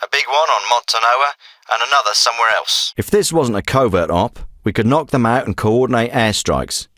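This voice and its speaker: An actor playing a hard-nosed, authoritative leader of a covert military team in a video game, speaking tersely. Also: male voice, mid-range voice, brisk, pushed and bright, dry recording, natural voice. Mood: businesslike, focused. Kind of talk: scripted video game dialogue. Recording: some clips processed as radio.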